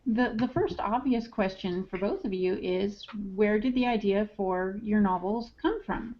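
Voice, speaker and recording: A woman's voice: mid-range voice, unhurried. Woman moderator with muffled lo-fi sound. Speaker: podcast moderator. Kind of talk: casual interviewing. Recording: dull lo-fi voice recording.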